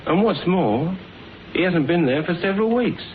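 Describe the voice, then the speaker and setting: An actor playing a cheeky, sceptical young detective's assistant in a classic radio drama, brisk and informal. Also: male voice, high-pitched, animated. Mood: brisk, polite. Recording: noisy, narrow old radio recording.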